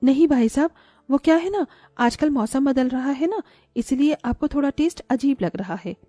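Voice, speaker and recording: A woman's voice: high-pitched, lively intonation, moderate pace, dark tone, dry recording, faint music behind. A woman voicing a greedy, crafty mother who sells street food, with a persuasive manner, in a cartoon story. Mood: crafty, self-justifying. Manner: self-justifying, persuasive.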